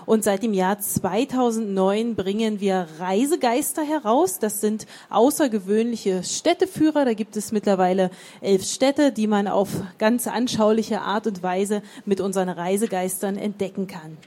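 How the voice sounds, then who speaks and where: Mellow woman presenting at an event. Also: medium pitch, normal speed. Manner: upbeat promotional. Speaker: publisher presenter. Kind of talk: event moderation. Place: fair hall stage.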